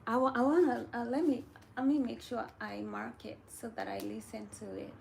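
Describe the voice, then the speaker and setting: A woman's voice: on the high side, fairly even intonation, moderate pace, gravelly, a little mellow, a little hiss or hum. A woman hosting a casual livestream, chatting and reading viewer comments aloud. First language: Kamba.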